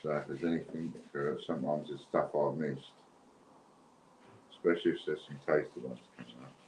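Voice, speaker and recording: A man's voice: extremely low pitch, steady tone, moderate pace, a little mellow. A British man hosting a giveaway livestream in casual, unscripted, chatty and rambling talk. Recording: dull lo-fi, roomy echo, clear background noise.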